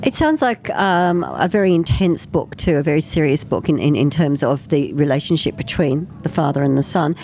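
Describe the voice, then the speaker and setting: Low, mellow-voiced woman interviewer. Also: lively intonation, normal speed, hardly any pauses, slight background noise. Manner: conversational, with some fillers. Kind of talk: radio festival interview.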